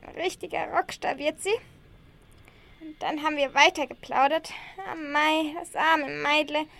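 A woman's voice: high-pitched, steady tone, unhurried, gravelly, a bit bright, exaggerated voice. A woman playing a lonely, caring old grandma, fussing and sighing in an amateur radio play. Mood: wistful, chatty. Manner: fussing, chatty, sighing, uses southern German dialect words.

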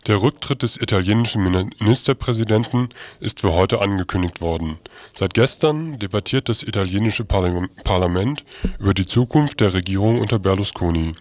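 A man's voice: on the low side, lively intonation, a little fast, a little mellow. A man reading scripted news as a radio newsreader.

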